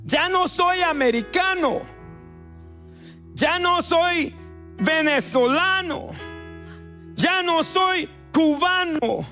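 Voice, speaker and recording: A man's voice: very high voice, bright, forceful tone, soft background music. A man with a Guatemalan accent preaching a sermon as a pastor, stretching out words for emphasis. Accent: Guatemalan Spanish. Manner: preaching with emphatic drawn-out stresses. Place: church sanctuary.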